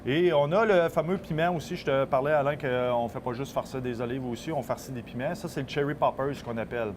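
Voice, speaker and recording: A man's voice: medium pitch, quick pace, background noise. A man in his thirties with a Québécois French accent, a small-business owner who explains his work eagerly, with many fillers. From Québec. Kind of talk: casual interview.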